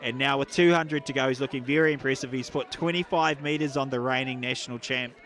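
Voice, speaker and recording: A man calling a live track race, building excitement as the runners pull apart. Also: a little fast. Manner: excitable, rises in pitch and volume during the race. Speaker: athletics commentator. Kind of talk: live athletics commentary. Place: outdoor stadium.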